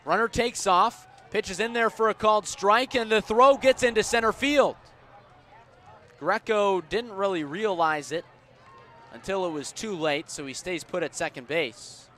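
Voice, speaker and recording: A man calling baseball live on the radio, measured between pitches and punchier on plays, as an announcer does. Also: pushed and bright. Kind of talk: live radio sports commentary. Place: outdoor baseball ballpark. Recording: outdoor ballpark ambient noise.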